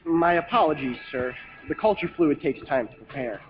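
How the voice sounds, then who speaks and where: Deferential military lab man for a game, male actor, anxious, high-pitched, dull recording over music. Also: lively intonation, normal speed, lightly acted. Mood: nervous, deferential. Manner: apologetic, formal. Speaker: military technician. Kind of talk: scripted video game voice acting. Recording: muffled lo-fi game audio with music.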